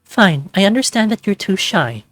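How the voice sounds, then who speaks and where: A man's voice: high-pitched, big pitch swings, normal speed, fairly bright tone, dry recording, voice pushed up. A man voicing a flirty, teasing female furry lab boss in a visual novel, with a coy, sugary manner. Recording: music playing behind close dry voice.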